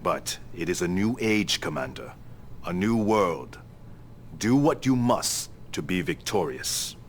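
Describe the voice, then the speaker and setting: An actor playing a young crown prince who speaks in a calm, assured way in a game cutscene. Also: male voice, sits low, slow, a little hiss or hum, exaggerated voice. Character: young male crown prince, confident and modern.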